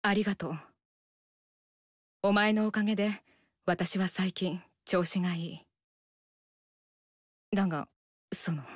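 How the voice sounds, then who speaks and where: A woman's voice: mid-range voice, steady tone, unhurried, long pauses, dry recording, clean studio sound, natural voice. An actress voicing a stern, earnest young woman warrior in a video game, speaking in a formal, stiff manner. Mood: stiff sincere. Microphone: studio mic, dry.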